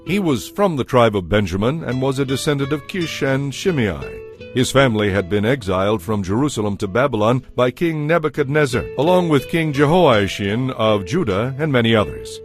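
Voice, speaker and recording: A man's voice: medium pitch, expressive, normal speed. A man narrating a dramatized audio Bible in a scripted, storytelling style. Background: music.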